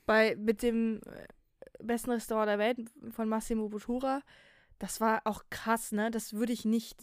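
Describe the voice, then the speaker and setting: A young woman chatting casually as a podcast co-host, effusive, expressive and slangy. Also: fairly high pitch, normal speed, smooth, no echo, studio quality. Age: young adult. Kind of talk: casual chat.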